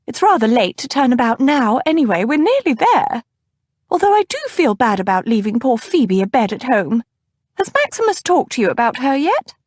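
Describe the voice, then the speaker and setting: A woman reading an audiobook, voicing a fussy, fretting older woman chaperone in a high, fluttery, huffing voice. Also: very animated, normal speed, a little mellow, dry recording, clean studio sound. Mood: fretful. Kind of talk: audiobook character voice.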